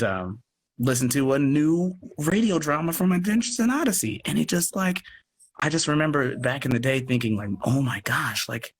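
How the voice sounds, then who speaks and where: A man chatting casually in a live online interview as a guest radio play producer, given to chuckling. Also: medium pitch. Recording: live stream with background noise.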